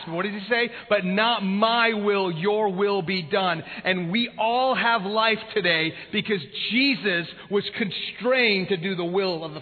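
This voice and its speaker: Male pastor from Iran, Farsi first language, high voice, passionate preaching, tinny sound in a reverberant hall. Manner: impassioned preaching, swinging from loud to hushed. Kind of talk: sermon.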